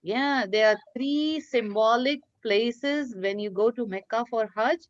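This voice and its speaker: A woman with a slightly high voice leads an online lesson for young children. She takes her time and encourages each pupil with praise. The sound is dull and lo-fi, like a video call. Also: measured pace. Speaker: children's religious school teacher leading a craft class.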